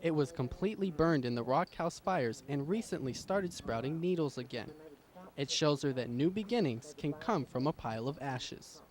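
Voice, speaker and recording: A man's voice: on the high side, animated, moderate pace, darkish tone. A man delivering scripted television news reports as a field reporter. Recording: outdoor location sound with traffic noise.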